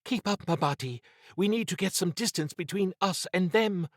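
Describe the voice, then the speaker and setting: Plucky adventuring woman played by a man for horror audiobook; lifted pitch, wry, brisk, unflappable. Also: dry recording, clean studio sound. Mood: urgent.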